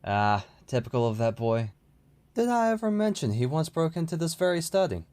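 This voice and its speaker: Animated, genial older lord, played by a man. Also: on the high side, moderate pace. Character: genial, regretful older village lord, familiar and teasing. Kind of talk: audio drama dialogue.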